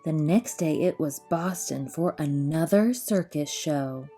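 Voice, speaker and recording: Mellow woman reading a children's storybook. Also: low-pitched, slightly rough, soft background music. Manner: measured, rhythmic verse delivery. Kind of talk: expressive children's picture book narration.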